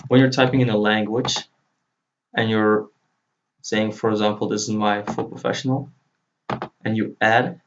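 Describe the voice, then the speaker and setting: A man whose native language is Dutch, giving an informal screen-recorded software tutorial as he walks through each step. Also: on the low side. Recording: dull lo-fi mic, faint noise. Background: computer keyboard typing and clicking.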